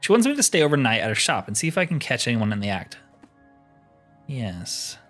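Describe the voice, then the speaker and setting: Animated man commentating a game over music. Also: medium pitch, a little fast. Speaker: gaming video host. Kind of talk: casual roleplay gameplay commentary, reading dialogue aloud.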